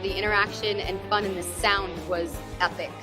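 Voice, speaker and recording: A woman's voice: mid-range voice, little pitch movement, normal speed. An American woman, a country singer, speaking in a promotional interview. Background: loud music with guitar.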